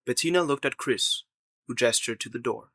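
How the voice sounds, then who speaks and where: A man's voice: mid-range voice, moderate pace, pushed and bright, no room sound. A man reading scripted narration for an audio drama.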